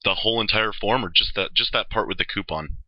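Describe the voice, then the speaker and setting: Fast-talking low-voiced man, dull phone audio. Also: very noisy. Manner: hesitant, repeats and rephrases his questions. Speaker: caller. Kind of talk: casual phone inquiry.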